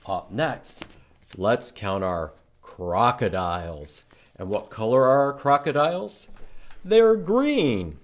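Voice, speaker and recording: A man hosting a children's storytime, reading aloud and talking in simple, deliberate phrases for young listeners. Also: medium pitch, expressive. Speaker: library storytime host leading songs and reading aloud.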